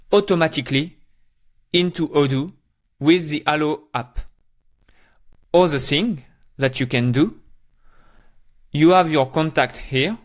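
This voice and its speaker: Male tutorial presenter, slightly high voice, plain step-by-step instruction in short phrases.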